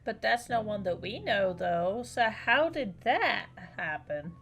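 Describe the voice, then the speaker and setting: A woman reacts casually while streaming a game. Her delivery is lively and unhurried, swinging widely in pitch, with faint music behind. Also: slightly rough. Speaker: livestreamer playing a game. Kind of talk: casual live reaction commentary.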